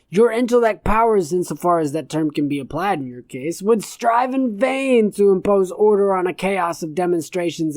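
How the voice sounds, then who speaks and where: A woman's voice: lowish voice, warm tone, no echo, close to an everyday voice. A woman voicing a pompous, scornful, verbose old male philosopher in an audiobook story.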